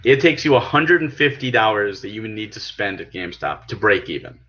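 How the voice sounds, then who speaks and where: A man's voice: fairly low pitch, expressive, fairly quick, sharp tone, highs cut off. A man with an American accent talking casually and persuasively about things as a podcast host, emphatic and explanatory. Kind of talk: casual gaming podcast discussion.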